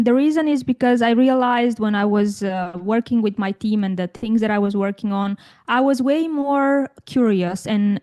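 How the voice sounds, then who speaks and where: A woman's voice: fairly high pitch, a little mellow. A woman from Romania in her thirties, a guest on an interview podcast, answering thoughtfully with frequent restarts and fillers. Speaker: guest, tech career coach.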